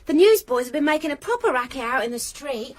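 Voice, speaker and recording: A cheeky young niece. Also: female voice, sits high, expressive, quick pace. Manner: breezy, cheeky. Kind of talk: radio drama acting.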